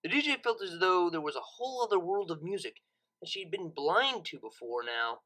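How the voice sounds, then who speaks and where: A narrator reading a scripted story audiobook in an expressive, dramatic storytelling manner. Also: medium pitch, normal speed, low-quality recording.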